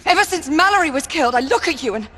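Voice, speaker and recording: An actress playing a worried, forthright comrade of a knight in a video game, earnest and demanding. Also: female voice, high voice, lively intonation, fast, a bit bright, a little hiss or hum. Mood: hurt, pleading. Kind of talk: dramatic video game acting.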